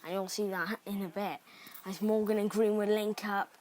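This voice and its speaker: A young man from Cardiff with a Cardiff Welsh accent, chatting excitably through gameplay commentary with rambling asides. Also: high-pitched, normal speed, a little hiss or hum. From Cardiff, Wales. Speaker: gaming YouTuber.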